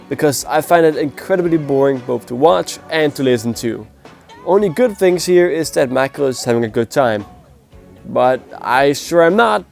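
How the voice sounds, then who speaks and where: Nasal, gravelly young man reviewing. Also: on the high side, a little mellow. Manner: blunt, opinionated, grades with scores. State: sick, nasal voice. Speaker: music performance reviewer. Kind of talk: casual spoken video review.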